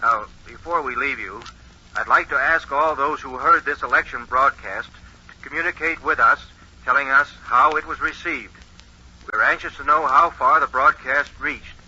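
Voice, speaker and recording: An actor playing an earnest 1920s radio announcer in a radio drama, reading out figures with deliberate emphasis. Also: male voice, medium pitch, pushed and bright, slightly rough. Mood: solemn, formal. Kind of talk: 1940s radio drama acting, newsreader delivery. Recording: muffled vintage 1940s radio recording.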